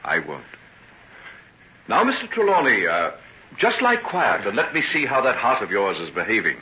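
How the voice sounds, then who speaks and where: An actor in an old-time radio drama playing a soothing, apologetic gentleman doctor with a polite, formal, hesitant manner. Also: on the low side, wildly swooping pitch, normal speed, slightly rough, exaggerated voice. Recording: dull old radio recording, faint noise.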